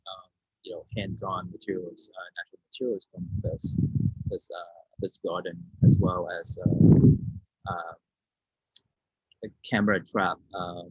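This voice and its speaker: Low, husky, hesitant man on muffled call. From Vietnam. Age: 30s. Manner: hesitant, searching for words. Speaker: artist being interviewed. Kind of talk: informal talk about own artwork. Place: indoor room, on a video call. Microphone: video-call microphone. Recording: muffled, roomy video-call audio.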